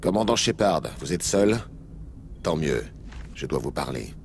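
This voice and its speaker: A grave, commanding alien agent in a sci-fi game. The voice is extremely deep, over a faint noisy ambience. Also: male voice, lively intonation, unhurried, heavily acted. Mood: grave, probing. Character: solemn, authoritative alien special agent aboard a warship. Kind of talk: video game voice acting.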